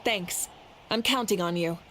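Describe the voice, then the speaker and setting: A woman playing a commanding, warm-hearted warrior leader in a video game, speaking in a stately, assured, proclaiming manner. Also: lowish voice, unhurried, slight background noise. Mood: calm, trusting. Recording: loud game music behind voice. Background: game music.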